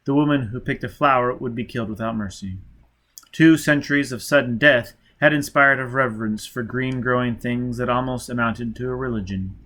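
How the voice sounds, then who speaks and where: A man's voice: medium pitch, fairly bright tone. A man reading a novel aloud as scripted audiobook narration. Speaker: audiobook reader.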